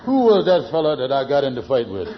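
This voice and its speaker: A man's voice: mid-range voice, sing-song, fast, close to an everyday voice. An actor playing a scheming, hapless, boastful man in a vintage radio sitcom, blustery and folksy in his speech. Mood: aggrieved. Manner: blustery, folksy nonstandard grammar. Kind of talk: 1940s-50s radio sitcom acting. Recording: muffled vintage radio recording.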